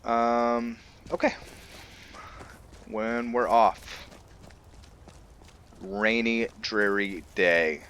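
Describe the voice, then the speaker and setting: A man's voice: on the low side, steady tone, extremely slow, pauses often, fairly bright tone, clear, resonant tone. A man doing casual let's-play roleplay commentary, musing to himself a little hesitantly over game ambience. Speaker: gaming video host and player.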